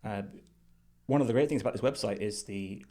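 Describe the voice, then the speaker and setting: A man giving unscripted screen-share commentary as a reviewer, thinking aloud in a measured, thoughtful way. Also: fairly low pitch, mellow. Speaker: design reviewer.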